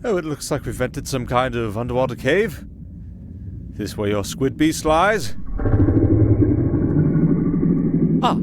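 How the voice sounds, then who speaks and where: A man's voice: mid-range voice, background noise, close to an everyday voice. A man playing a wry, nervy castaway entertainer in an ASMR roleplay, sarcastic and exasperated as he talks to an unheard partner. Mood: uneasy, dry. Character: young male wandering entertainer, sardonic, reluctant, nervy castaway. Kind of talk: ASMR roleplay acting, one-sided dialogue.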